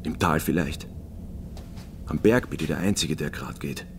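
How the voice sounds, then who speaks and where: Radio drama: earnest male mountain labourer, very deep register, halting, few words. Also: creaky, slight background noise. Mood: stubborn, quiet. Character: earnest, taciturn mountain labourer, a limping man in love.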